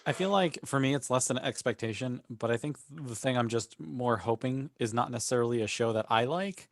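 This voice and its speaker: Male podcast panelist, average-pitched, informal chat, no room sound at all. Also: brisk, dry recording. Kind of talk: casual group chat about TV shows.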